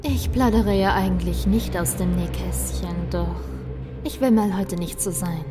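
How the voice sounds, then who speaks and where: A woman's voice: lowish voice, unhurried, over music, natural voice. A woman playing a cruel, vengeful gang leader in a horror audio drama, taunting and menacing. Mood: mocking, gloating. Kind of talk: German audio drama acting.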